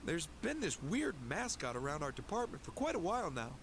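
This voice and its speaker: A chatty young store clerk in a game dub. He sounds high and lively, casual and gossipy. Game audio with a clear hiss. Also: a little fast.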